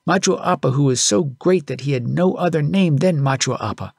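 A man's voice: fairly high pitch, brisk, gravelly, slightly rough, no echo, studio quality. A man reading a story aloud as an audiobook narrator, in scripted storytelling style.

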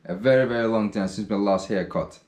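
Young man, lowish voice, casual vlog chat, upbeat hype, echoey small room. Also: fairly quick. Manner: upbeat, chatty, swings from loud hype to low mumble. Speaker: vlogger. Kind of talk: casual vlog talk to camera. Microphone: camera at arm's length.

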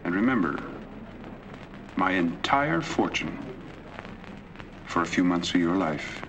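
An actor playing a middle-aged wealthy dying man in a 1940s film, calm and persuasive. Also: low voice, slow, lo-fi sound, exaggerated voice. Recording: old film soundtrack, distant and noisy.

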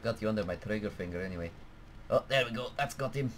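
A man commentating his flight simulator game in a mock Russian accent, joking and rambling between bursts of excitement. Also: fairly low pitch, fast talker, slight background noise. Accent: put-on Russian accent. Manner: jokey, excitable, bursts of alarmed shouting. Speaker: gameplay commentator. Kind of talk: casual let's-play commentary.

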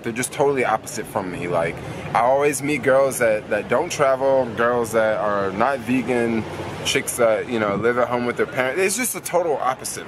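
A young American man chatting casually and slangily to camera as he vlogs, rambling and repeating phrases for emphasis. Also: mid-range voice. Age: young adult. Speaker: vlogger. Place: outdoors on city streets. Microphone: camera held at arm's length. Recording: noisy urban street ambience.

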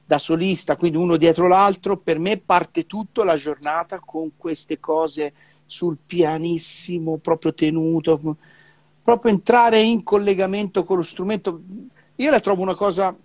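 A man's voice: sits high, steady tone, unhurried, no echo. A middle-aged man chatting casually as a trumpeter on a video call, often repeating syllables as he searches for words. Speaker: professional trumpeter. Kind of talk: casual conversation about music practice. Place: indoor room, remote video call. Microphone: wired earphone mic on a video call.

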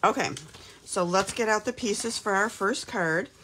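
A woman from Texas in her fifties, slightly hoarse from a cold, chatting casually while hosting a live craft demo from her home craft room. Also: mid-range voice, measured pace, noisy background. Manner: chatty, upbeat, lots of "um"s.

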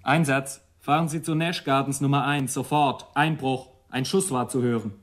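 An actor playing a dutiful, clipped, official police desk officer in a radio crime drama. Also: male voice, medium pitch, steady tone, moderate pace, lightly acted. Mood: brisk, urgent.

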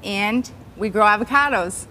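A woman's voice: medium pitch, moderate pace, pushed and bright. A woman in her thirties with an American accent, casually introducing herself as a game show contestant. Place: large TV studio set. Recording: faint studio noise.

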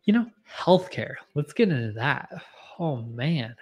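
A man in his mid-twenties hosting a casual live stream, rambling and self-deprecating as he chats with viewers from a home bar on a boom mic. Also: sing-song, a little slow, darkish tone, husky, muffled recording, soft background music. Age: 20s. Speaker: livestream host. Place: home bar with bottle shelves, indoors. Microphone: boom mic in front of face.

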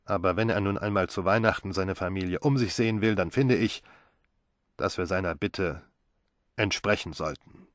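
A pompous, respectable husband in middle age, formal and stuffy, stumbling over words when rattled, as a male reader voices him. The tone sits low, recorded close and dry. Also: normal speed, no room sound, natural voice. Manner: formal and stuffy, stammers when caught off guard. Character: stiff, proper middle-aged husband, pompous. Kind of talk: audiobook character voice.

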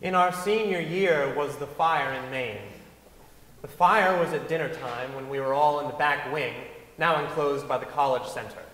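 A man's voice: fairly high pitch, normal speed, fairly bright tone. A young man reading a written recollection aloud on stage in storytelling fashion. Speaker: reader on stage. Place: large wooden concert hall stage. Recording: echoey hall, faint room noise.

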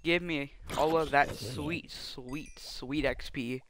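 A man's voice: on the high side, fairly even intonation, slow pace, noisy background. A young man streaming a block-building game, chatting casually to his viewers, rambling and restarting his sentences. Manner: rambling, chatty, trips over restarts while talking to chat. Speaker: live streamer. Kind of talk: casual gameplay commentary.

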